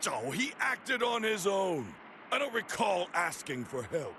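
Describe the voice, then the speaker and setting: An actor playing a proud, irritable warrior in an anime video game, gravelly and haughty, with curt scorn. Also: male voice, very animated, unhurried. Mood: scornful. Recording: noisy rumbling ambience behind.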